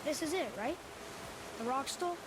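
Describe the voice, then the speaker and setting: A man's voice: very high-pitched, normal speed, noisy background. A young male actor playing a curious, earnest boy adventurer with an American accent in a video game, speaking in a candid, natural way. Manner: inquisitive, candid.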